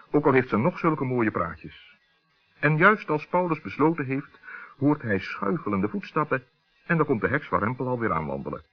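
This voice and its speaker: A man with a husky voice narrating a scripted children's radio story. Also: mid-range voice. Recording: dull old radio recording.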